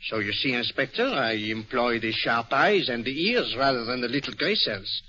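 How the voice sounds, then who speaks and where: An actor in a 1940s radio mystery playing a shrewd, courtly, self-assured detective with a French accent, speaking precisely and theatrically. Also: male voice, medium pitch, lively intonation, normal speed, pushed and bright, soft background music. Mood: confident, explanatory. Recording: muffled vintage 1940s radio recording.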